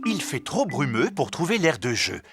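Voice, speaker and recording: A man's voice: medium pitch, very animated, normal speed. A man narrating a preschool cartoon in simple storytelling sentences. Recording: loud music behind the voice.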